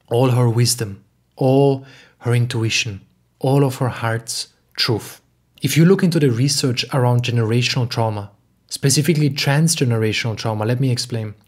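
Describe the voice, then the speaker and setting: A young man from Austria speaking in an earnest, emphatic way, slowly repeating phrases as he talks alone to the camera at home. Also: mid-range voice, slightly rough. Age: young adult. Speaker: podcast host and spiritual teacher. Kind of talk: reflective solo talk. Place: home living room.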